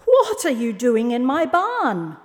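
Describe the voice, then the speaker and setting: A woman's voice: high voice, huge pitch swings, slow, long reverb tail, voice pushed up, full character voice. A woman voicing a grumpy male owl in a children's story, curt, huffy and indignant.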